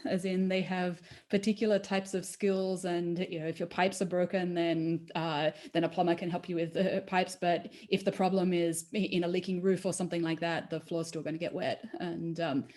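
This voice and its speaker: A woman moderating an online panel discussion, speaking haltingly with many restarts and fillers. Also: lowish voice, fairly even intonation, warm tone. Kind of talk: panel discussion over video call.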